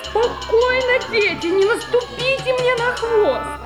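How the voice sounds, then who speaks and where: A woman as a gentle schoolteacher. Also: very high-pitched, slow, loud music behind the voice, voice pushed up. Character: calm, friendly female schoolteacher.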